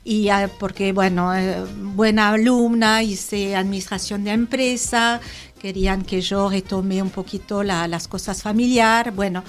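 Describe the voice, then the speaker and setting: A woman's voice: medium pitch, steady tone, a little slow, faint music behind. A woman in her sixties with a French accent, speaking Spanish as an interview guest, hesitant and full of fillers and restarts. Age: 60s. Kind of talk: casual conversational interview.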